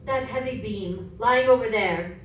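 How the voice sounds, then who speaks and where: An alarmed, fearful companion played by a woman in a 1930s-style radio horror play. The voice is high and dramatic, heard from afar in a very echoey space. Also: measured pace, close to an everyday voice. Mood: tense, dread. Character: alarmed companion breathlessly reporting horrors in a vault. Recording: very echoey, distant, faint noise.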